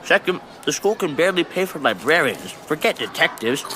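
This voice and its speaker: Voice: mid-range voice, very animated, brisk, pushed and bright, a little hiss or hum, poor audio. An actor playing a blunt, food-obsessed middle-school kid with a dry, sceptical manner in a children's audio drama. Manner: dry, matter-of-fact, sceptical.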